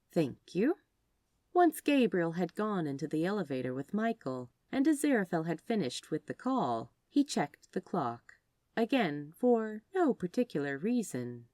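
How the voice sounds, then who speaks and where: Expressive woman narrator, very lively pitch. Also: dry recording, studio quality. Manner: expressive read-aloud delivery. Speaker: podfic narrator. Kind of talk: scripted storybook narration.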